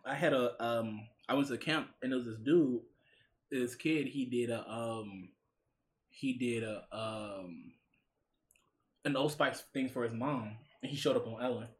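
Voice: medium pitch, unhurried. A co-host in their late twenties, talking casually and teasing, saying "bro" a lot. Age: late 20s. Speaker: podcast co-host. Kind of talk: casual chat.

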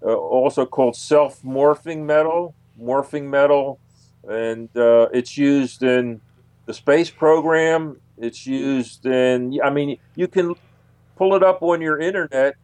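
A man speaks as a researcher being interviewed, in a mid-pitched voice with a dry sound. He talks conversationally but haltingly, often restating a phrase to drive it home. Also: a little mellow, clean tone, no room sound. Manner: halting, repeats phrases for emphasis.

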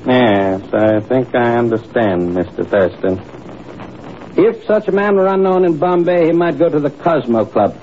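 An actor playing a courteous, measured police inspector in a 1950s radio drama, formal and slightly hesitant. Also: male voice, mid-range voice, animated, lightly acted. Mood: courteous, discreet. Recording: muffled, noisy old radio broadcast recording.

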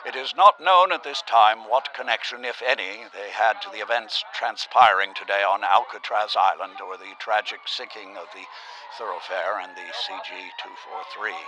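A man's voice: on the low side, natural voice. A man playing a solemn, declamatory field correspondent in a vintage-style radio drama, on a noisy outdoor broadcast. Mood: solemn, dramatic. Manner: declamatory, measured reporting. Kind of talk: vintage radio news acting.

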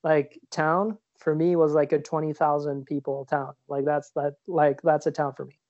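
Guy from switzerland on a podcast, rambles a lot, dark mellow voice, dull dry audio. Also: on the high side, flat delivery, normal speed, no echo. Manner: rambling, many fillers and restarts. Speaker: podcast co-host. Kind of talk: casual chat. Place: lives in New York.

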